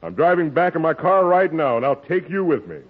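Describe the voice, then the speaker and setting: A genial, lively army major. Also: male voice, a little high, brisk, loud music behind the voice. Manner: polite, genial, probing. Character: courteous middle-aged army major, father. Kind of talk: 1940s radio comedy acting. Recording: tinny old radio broadcast.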